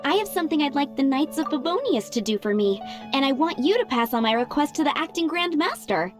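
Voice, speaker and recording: A woman's voice: high-pitched, animated, a little fast, over music. A woman playing a busy, earnest townswoman in video game dialogue. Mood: eager, relieved.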